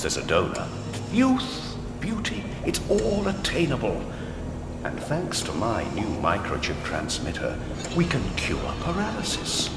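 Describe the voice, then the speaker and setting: A man's voice: a little high, exaggerated voice. An actor playing a grandiose, pompous mad surgeon villain in an audio drama, mock-courteous and gleefully proud of his work. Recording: echoey hall reverb with loud music.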